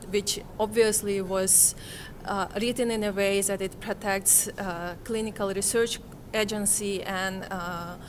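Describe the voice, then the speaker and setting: A woman's voice: mid-range voice, a little hiss or hum. A woman from Kazakhstan, giving reflective interview answers in a hesitant way, often searching for words. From Kazakhstan, Central Asia. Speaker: interviewee, conference participant.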